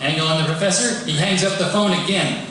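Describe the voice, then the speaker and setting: A man's voice: high-pitched, fast talker. A man reading scripted stage directions aloud at a live table read. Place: live event stage, audience reacting. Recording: echoey hall from far off, loud noise.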